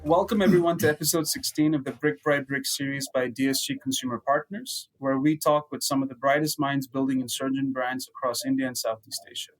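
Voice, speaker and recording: A man's voice: mid-range voice, steady tone, brisk, few pauses, soft background music, rough recording. A man hosting a business podcast as an investor, giving a prepared but conversational introduction. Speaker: podcast host, venture capital investor.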